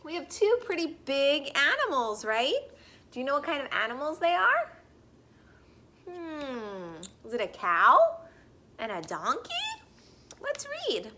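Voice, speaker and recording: A woman reading a children's picture book aloud expressively, in a sing-song way, pausing to put questions to young listeners. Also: high voice, wildly swooping pitch, sharp tone, a little hiss or hum.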